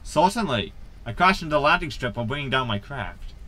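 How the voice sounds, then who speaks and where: An actor playing a glib, cocky impostor space captain in a sci-fi comedy audio drama, breezy and matter-of-fact. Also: male voice, medium pitch, big pitch swings, fairly quick, sharp tone.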